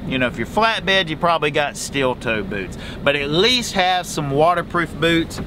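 A man's voice: fairly high pitch, normal speed, background noise. A man, giving folksy casual advice as a truck driver vlogger from his sleeper cab. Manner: chatty, folksy, animated hand gestures. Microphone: camera in front at close range.

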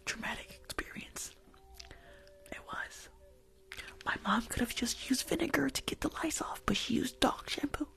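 A woman's voice: sits high, animated, normal speed, long pauses, bright, forceful tone, exaggerated voice. Woman playing a sassy whispering school nurse. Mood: rambling, confessional. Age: young adult. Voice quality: breathy whisper with fry. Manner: sassy, grumbling, rambling. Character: rude, weary, complaining female school nurse. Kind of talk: whispered ASMR roleplay. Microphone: close foam-covered microphone on desk.

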